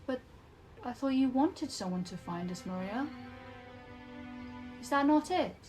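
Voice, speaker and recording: An actress playing an eerily calm, taunting young woman in an audio drama, with a measured, needling manner. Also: sits high. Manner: measured, cryptic, needling questions. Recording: music behind.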